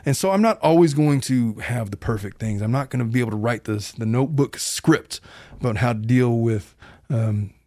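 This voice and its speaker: A man's voice: medium pitch, normal speed, dark tone, no echo, clean studio sound. A middle-aged man hosting his own podcast as a family law attorney, talking bluntly and earnestly in a long, rambling monologue.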